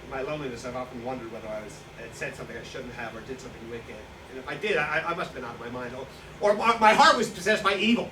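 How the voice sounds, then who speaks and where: A man playing a self-pitying, self-justifying wartime profiteer in a staged reading, halting and indignant, with nervous chuckles. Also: mid-range voice, recorded from a distance, natural voice. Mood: pleading, remorseful. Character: self-pitying, self-justifying Nazi-era profiteer who seized a bookstore. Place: live staged reading in a room. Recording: echoey, noisy hall recorded from afar.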